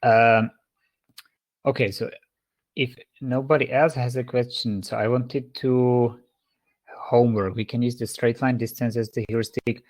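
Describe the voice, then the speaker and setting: A man's voice: mid-range voice, level tone, unhurried, darkish tone. A man with a Czech accent giving an online university lecture over a video call, stopping and restarting often with many fillers. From Czech Republic.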